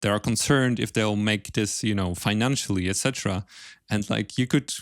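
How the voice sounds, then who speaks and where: A man's voice: lowish voice, moderate pace, no echo, clean studio sound. A man hosting a podcast in a casual, conversational way, offering encouraging and tentative suggestions. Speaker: podcast host. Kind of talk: casual interview chat.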